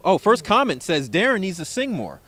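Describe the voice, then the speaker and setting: A man's voice: high-pitched, wildly swooping pitch, normal speed, a bit bright, breathy edge, no echo. Playful man co-hosting a sports show. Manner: playful, joking, stammers and restarts. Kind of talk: casual post-game chat.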